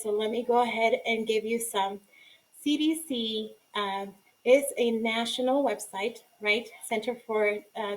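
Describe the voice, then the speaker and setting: A young adult woman from Guatemala whose first language is Spanish gives an earnest, informal talk as a community organizer. Her voice sits a little high. She pauses often on ums and restarts her sentences. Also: poor audio. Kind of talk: informal presentation.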